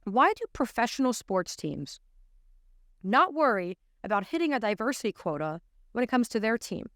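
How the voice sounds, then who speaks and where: A woman's voice: on the high side, big pitch swings, moderate pace, fairly bright tone, dry recording. An American woman in her twenties giving emphatic, incredulous opinion commentary to camera, close on a studio microphone. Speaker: commentator and show host. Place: small indoor studio. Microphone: close studio microphone on an arm.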